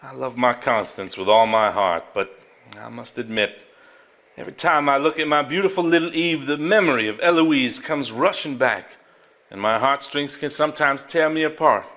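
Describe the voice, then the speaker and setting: An actor on a theater stage playing a man who reads an old journal aloud, deliberate, formal and earnest. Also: medium pitch, fairly bright tone, close to an everyday voice. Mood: earnest, tender. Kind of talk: live stage drama, measured dramatic reading. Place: theater stage before a live audience.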